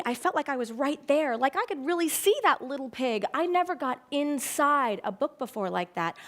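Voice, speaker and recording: Female educator, middle-aged, giving a prepared public talk: high-ish voice, expressive storyteller, emphatic phrasing.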